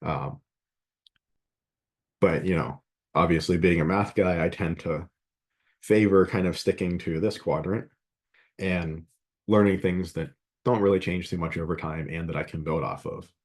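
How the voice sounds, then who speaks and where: A man teaching a math class in a relaxed, rambling way with lots of fillers, a little sniffly and scratchy-throated from a head cold. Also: deep voice, measured pace, long pauses. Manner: rambling, conversational, many fillers. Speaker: math instructor. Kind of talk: classroom lecture.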